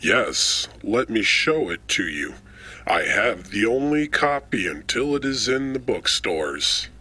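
A man's voice: lowish voice, very animated, takes it slowly, bright, forceful tone, breathy edge, heavily acted. A man voicing a gloomy, courteous author of spooky books in a children's radio drama, speaking theatrically. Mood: proud.

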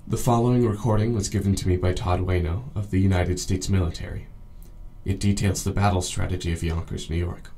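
Actor as grave tape archivist. Low, mellow, formal scripted narration. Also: male voice, fairly even intonation, fairly quick. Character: grave archivist introducing recovered war tapes.

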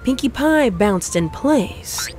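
A woman's voice: fairly high pitch, animated, takes it slowly, a little mellow, a little hiss or hum. A woman narrating a scripted comedic story as a storyteller. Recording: faint music behind the voice.

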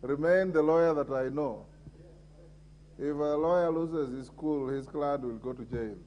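Kenyan man, parliamentary speaker, firm and commanding, a bit high-pitched, desk mic in a big chamber. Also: measured pace, darkish tone, lo-fi sound. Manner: firm, calling order repeatedly. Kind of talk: chairing a parliamentary debate. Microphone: desk microphone.

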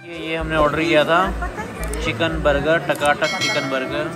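A young man hosting a travel vlog, talking in a relaxed, chatty way into a phone held at arm's length. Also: fairly high pitch, moderate pace, over a noisy crowd. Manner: relaxed, chatty, recommends to viewers. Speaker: vlogger host. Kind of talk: casual travel vlog talk. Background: music.

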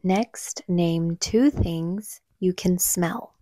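A woman leading a guided meditation in a soothing, gentle, unhurried manner, with soft breathy phrase endings. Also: fairly low pitch, no echo. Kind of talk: calm guided meditation narration.

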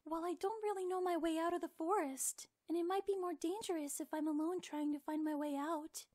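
A woman voicing a timid, earnest young cartoon pony princess, gentle, polite and a little hesitant. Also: extremely high pitch, fairly even intonation, fairly quick. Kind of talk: animated fan drama acting. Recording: music under the voice.

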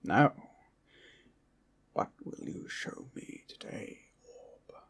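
A man playing a grumbling, scheming old wizard who muses theatrically over his orb in an ASMR fantasy roleplay, often slipping into whispers. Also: extremely slow. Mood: scheming, intrigued. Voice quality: breathy, husky. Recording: music behind the voice.

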